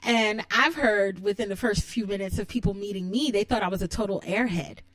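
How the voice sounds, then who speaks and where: A bubbly woman with a New York accent and a childlike, high-pitched voice, talking casually on her own and giggling often. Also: a little fast, fairly bright tone, no room sound, poor audio. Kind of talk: casual solo monologue.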